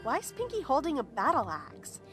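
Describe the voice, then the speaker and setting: A woman's voice: high-pitched, fairly quick, over music. A woman playing an earnest, easily flustered young female pony in a fan audio drama. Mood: relieved, bemused. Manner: earnest, expressive.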